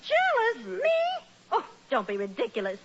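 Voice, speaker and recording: An actress playing an exasperated, sensible middle-aged wife in an old-time radio sitcom, chiding and sharp-tongued. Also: female voice, on the high side, wildly swooping pitch, normal speed, very noisy. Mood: indignant. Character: exasperated, sensible wife scolding her foolish husband. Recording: muffled old radio recording.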